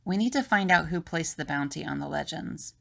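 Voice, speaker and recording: A woman's voice: low-pitched, a little fast, vocal fry, exaggerated voice. A woman playing a resolute, earnest fugitive in an amateur audio drama. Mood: earnest, explaining.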